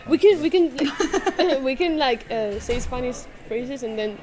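A young woman hosting a podcast, giggling and firing quick questions in casual chat. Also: sits high, lively intonation, over a noisy crowd, low-quality recording. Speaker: podcast host.

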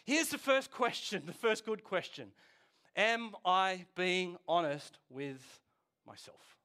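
Male pastor in his 40s, bright high voice, conversational sermon with quips and questions to the room. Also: big pitch swings, slow pace, clean tone. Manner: chatty, jokey, asks the audience questions.

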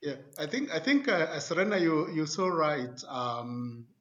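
Man, panelist, slightly high voice, muffled lo-fi sound, thinking aloud. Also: animated, a little slow, a bit bright. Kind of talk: academic panel discussion. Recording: dull lo-fi audio.

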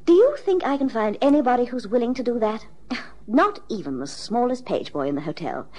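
Radio play role: demanding upper-class British client, husky voice, lively intonation, precise and frank. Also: female voice, fairly high pitch, brisk. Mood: frank, exasperated. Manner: refined, precise, insistent. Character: wealthy married lady of forty, demanding, frank, captivating. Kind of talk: radio drama acting.

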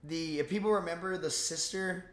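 A man's voice: a little high, steady tone, normal speed, a bit bright, slight background noise. A man co-hosting a casual movie review podcast, rambling and swearing freely as he chats. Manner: rambling, profane. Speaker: podcast co-host. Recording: roomy, echoey sound.

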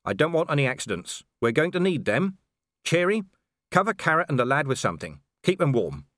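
A man voicing a weary, cynical male watch commander giving calm, clipped orders. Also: a little high, brisk, dry recording, studio quality, pitched above the performer's own voice. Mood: calm commanding.

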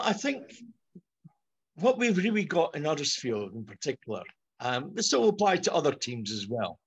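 A middle-aged man with a Scottish accent, speaking as a guest on a podcast discussion in a measured, articulate way. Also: on the high side, slightly rough. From Scotland.

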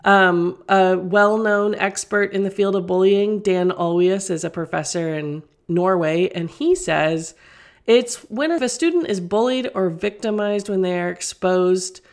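A woman's voice: mid-range voice, measured pace. A middle-aged woman hosting a podcast as a teacher, getting over a cold, explaining things earnestly with fillers and restarts. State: recovering from a cold. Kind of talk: solo podcast talk.

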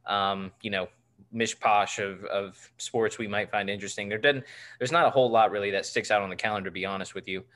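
Low-voiced joking man in his twenties. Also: fairly even intonation, fairly quick, fairly bright tone. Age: 20s. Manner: joking, rambling with many fillers. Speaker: podcast co-host. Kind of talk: casual sports podcast chat.

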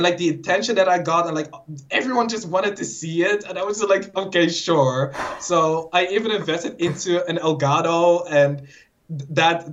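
A young Dutch man with a Dutch accent, a guest chatting casually and rambling quickly with lots of fillers and sudden lively jumps in his voice. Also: on the high side. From Netherlands. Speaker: guest YouTuber. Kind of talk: casual interview chat. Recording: dull lo-fi audio.

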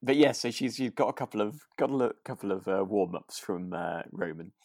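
A man chatting casually as a podcast co-host, with a halting way of restarting his sentences. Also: lowish voice, animated, vocal fry, a little mellow, no room sound, studio quality. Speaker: actor. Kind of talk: casual chat recapping a radio drama.

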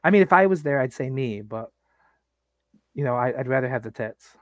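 A man's voice: mid-range voice, expressive, fairly quick, no echo, clean studio sound. A man in his late thirties co-hosting a podcast, rambling and joking crudely in casual banter. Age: 30s. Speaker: podcast co-host.

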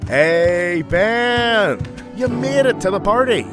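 A man's voice: high-pitched, lively intonation, slow pace. A man playing a casual, concerned young friend at a party in an audio drama. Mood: cheerful. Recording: loud party music behind the voice.

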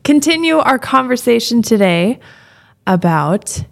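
A woman co-hosting a podcast in a casual, chatty way, laughing often and lifting her voice when animated. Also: on the high side, a little slow, gravelly. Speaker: podcast co-host. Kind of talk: casual chat.

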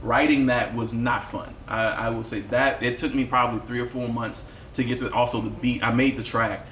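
A man speaking as a musician guest in a candid podcast conversation, telling personal stories in a reflective, expressive way with casual swearing. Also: mid-range voice, moderate pace. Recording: roomy echo with faint background noise.

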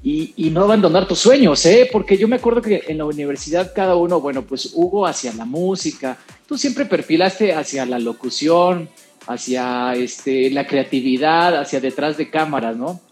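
A man's voice: a little high, moderate pace, soft background music. A middle-aged man from Costa Rica, talking casually as a guest who often laughs. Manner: chatty, relaxed, laughs often. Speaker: guest, actor and presenter. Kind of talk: casual conversation.

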